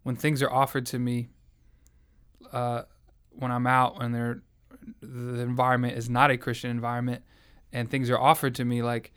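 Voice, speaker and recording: A man's voice: mid-range voice, completely flat delivery, normal speed, a bit bright, smooth. A young man from the South, earnestly and reflectively telling his faith story in an interview. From American South. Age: young adult. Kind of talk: personal testimony in conversation.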